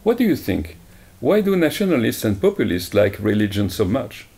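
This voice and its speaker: A man's voice: lowish voice, very animated, normal speed, creaky, mellow, husky. A man presents as program director, introducing guests and asking guiding questions. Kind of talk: presenting and moderating.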